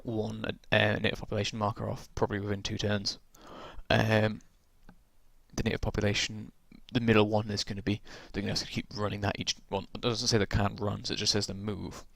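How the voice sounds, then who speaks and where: A man casually walking through tabletop game rules, rambling with lots of ums and restarts, his voice gone croaky from talking so long. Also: fairly low pitch, monotone, brisk, fairly bright tone, dry recording.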